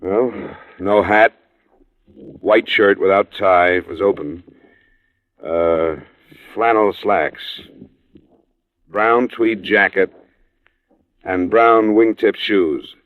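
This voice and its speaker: A hard-boiled male investigator speaks in a deep voice, clipped and matter-of-fact. He is cool and blunt in the manner of mid-century radio crime drama, heard on a muffled old broadcast. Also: extremely slow, pauses often, no room sound. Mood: clipped, businesslike. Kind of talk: 1940s-50s radio crime drama acting, first-person narration and dialogue. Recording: muffled, band-limited old radio recording.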